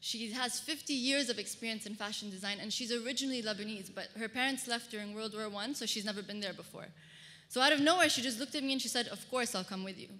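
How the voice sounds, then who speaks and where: A woman's voice: fairly high pitch, quick pace, sharp tone. A woman from Kuwait giving a personal storytelling talk as a public speaker at a conference. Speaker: public speaker, fashion designer. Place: large hall, speaking to an audience. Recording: reverberant hall, amplified stage talk.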